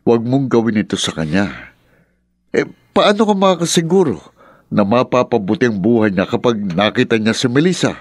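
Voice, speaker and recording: A man's voice: mid-range voice, very animated, normal speed, no echo, clean studio sound. A man acting in a Filipino radio drama as a middle-aged, concerned uncle who speaks in an earnest, admonishing way. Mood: earnest pleading. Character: concerned, protective uncle, the drunkard's younger brother.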